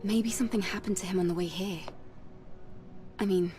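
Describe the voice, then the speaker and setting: An actress playing a caring, troubled young female warrior in a video game, soft and earnest. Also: mid-range voice, steady tone, normal speed, distant mic, music in the background, natural voice. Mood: worried, earnest.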